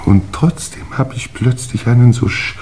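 An actor playing a weary, tipsy old English lord and uncle in a 1950s German radio play, halting and ponderous. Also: male voice, mid-range voice, warm tone, a little hiss or hum, close to an everyday voice. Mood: tipsy, dazed. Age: older.

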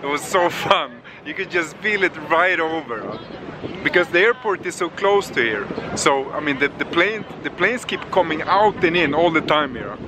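A man's voice: on the high side, lively intonation, normal speed, noisy background. A man speaking as a travel vlogger and guide, giving casual, enthusiastic commentary to camera outdoors on a boat. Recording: loud music under outdoor boat recording. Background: loud music, boat sounds.

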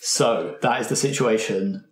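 A man's voice: lowish voice, unhurried. A man acting as game master, narrating an improvised tabletop game in a casual way. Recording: roomy, echoey recording.

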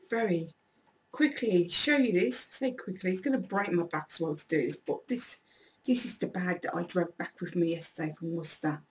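A woman from England with a croaky voice, chatting in a rambling, animated way through a shopping haul vlog at home. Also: mid-range voice, far from the mic. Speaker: YouTuber. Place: small room indoors.

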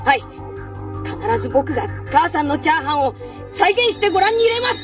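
A woman's voice: high voice, big pitch swings, fairly quick, distant mic, loud music behind the voice. A woman voicing an earnest, defiant young boy cook in a Japanese anime, speaking with fervent emphasis. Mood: resolute.

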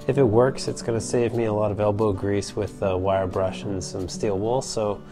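A man's voice: on the low side, moderate pace. A man in his thirties casually explaining a boat part repair in his vlog, with plenty of "uh" and "like". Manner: relaxed, explanatory, frequent "uh" and "like". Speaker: sailing vlogger. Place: basement workshop, indoors. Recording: music playing underneath.

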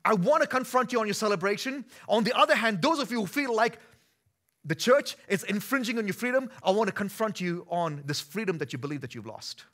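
A man in his thirties from India with an Indian accent, preaching a sermon on a church stage, moving between easy conversation and emphatic, forceful delivery. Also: sits high, animated, fairly quick. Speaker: pastor.

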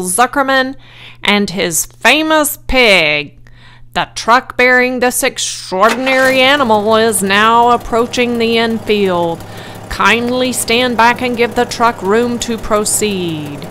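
Pompous storybook fair announcer, played by a woman, grand and formal, projected loudly, raised pitch, unhurried. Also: clean tone. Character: pompous booming fairground loudspeaker announcer. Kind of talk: storybook character voice.

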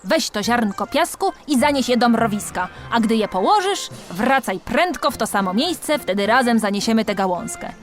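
A woman's voice: on the high side, expressive, moderate pace, hardly any pauses, faint music behind, close to an everyday voice. A woman voicing an old female worker ant in a children's story, a stern but kindly mentor who lectures in a motherly way. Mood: encouraging, instructive.

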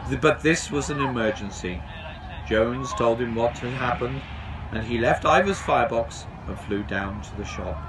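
A middle-aged man reading a children's storybook aloud outdoors, in an animated, expressive way. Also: medium pitch, normal speed, crowd noise, rough recording. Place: outdoors on a garden bench.

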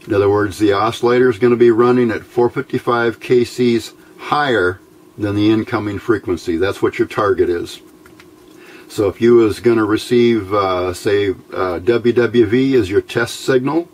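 A middle-aged American man giving an informal, unscripted technical explanation in a plain-spoken, folksy, halting way, recorded on a camera microphone at a workbench. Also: fairly low pitch. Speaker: electronics hobbyist presenter. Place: indoors at a workbench. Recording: noisy home-made camera audio.

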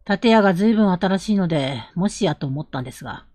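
A woman playing a polite, reserved, determined young traveller in a period audio drama, speaking formally and with measured care. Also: fairly low pitch, expressive, darkish tone, dry recording, clean studio sound. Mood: calm, guarded.

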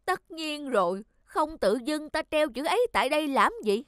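A woman's voice: high-pitched, expressive, moderate pace. A woman voicing a pompous, short-tempered fat village man in a cartoon, boastful and blustering. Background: music.

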